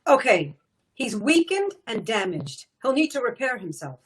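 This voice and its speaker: A quick-thinking cartoon heroine, sharp and alert. Her voice is fairly high with lively swings in pitch, over faint noise and clicks. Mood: urgent, reasoning. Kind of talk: animated series table read.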